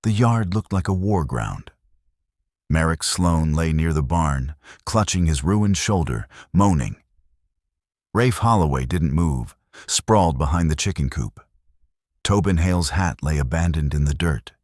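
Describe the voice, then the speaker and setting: A man reading a scripted dramatic Western story in a measured, solemn manner. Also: very deep voice, expressive, a little mellow, no echo, studio quality. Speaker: narrator.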